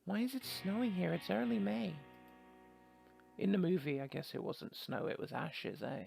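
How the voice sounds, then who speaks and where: A man's voice: high voice, animated, moderate pace, creaky, darkish tone, faint music behind. A man doing a casual let's play, reading game story text aloud and commenting with wry, rambling asides.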